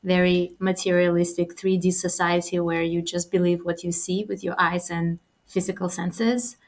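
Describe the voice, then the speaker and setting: A woman's voice: fairly low pitch, level tone, moderate pace. A woman from Russia, a spiritual mentor, thoughtfully telling her life story as a guest over a video call. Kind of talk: interview answers.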